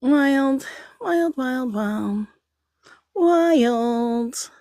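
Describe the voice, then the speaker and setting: A woman's voice: sits high, lively intonation, very slow, dark tone, no echo, clean studio sound. A woman hosting a casual livestream, rambling, laughing a lot and reading out viewers' comments. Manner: giggly, rambling, reads chat aloud. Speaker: livestream host.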